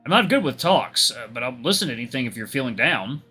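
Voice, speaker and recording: A man voicing a gentle, soft-spoken young male friend in a spoken story, in a slightly deeper voice than his own. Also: very animated, quick pace, breathy edge, soft background music, exaggerated voice. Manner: soothing, soft-spoken, tender.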